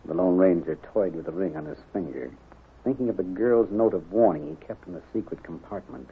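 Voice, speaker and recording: A man narrating an old-time radio western in a measured, dramatic, suspenseful style. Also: deep voice, expressive, slightly rough, heavily acted. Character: dramatic, suspenseful male storyteller of western adventure. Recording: tinny old radio with music behind.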